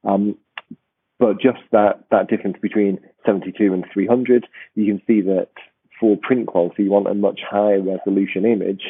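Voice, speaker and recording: A man hosting a podcast, with a croaky voice and a rambling, restarting way of talking. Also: lowish voice, steady tone, moderate pace, no room sound. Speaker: podcast host. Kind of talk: casual podcast chat. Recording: tinny phone-like line.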